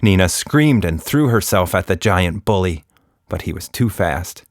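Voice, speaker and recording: A man's voice: low-pitched, expressive, measured pace, dry recording, clean studio sound. A man narrating a scripted children's story as a podcast storyteller.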